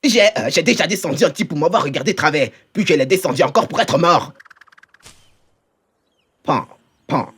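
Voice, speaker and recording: A man's voice: a little high, expressive, normal speed, pauses often, a bit bright, slightly rough, heavily acted. A man voicing a boastful, bumbling, cowardly young cowboy outlaw in a cartoon dub, blustering theatrically. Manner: blustering, theatrical bragging that cracks into panic. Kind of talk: cartoon fan dub acting.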